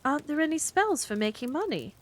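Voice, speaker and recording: A woman's voice: high voice, sing-song, a little fast, pitched above the performer's own voice. A woman voicing an eager, persistent young girl who keeps asking questions. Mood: eager, curious.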